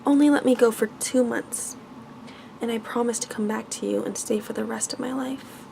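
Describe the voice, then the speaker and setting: A woman voicing a kind, loyal young storybook heroine, speaking softly and earnestly. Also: fairly high pitch, steady tone, normal speed, gravelly, background noise. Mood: tender, reassuring. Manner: soft, earnest.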